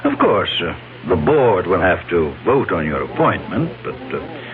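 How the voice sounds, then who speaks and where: An actor playing a genial, commanding older company president in a radio drama, fatherly and emphatic, with deliberate hesitations. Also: deep voice, very animated, over music. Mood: proud, persuasive. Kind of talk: 1970s radio drama acting. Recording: tinny old radio recording, crowd behind.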